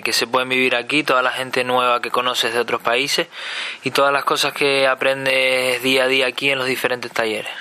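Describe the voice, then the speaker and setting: A young man, answering in a relaxed, slightly hesitant way. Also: mid-range voice, clean tone. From Tenerife. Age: 20s.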